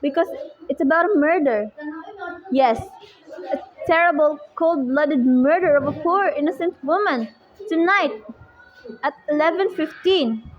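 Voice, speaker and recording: Imperious, indignant housewife played by a woman, high voice, dramatic and insistent, radio play style. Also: unhurried, long pauses, muffled recording. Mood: anxious. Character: agitated, imperious invalid housewife, demanding and indignant. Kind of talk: amateur radio play acting.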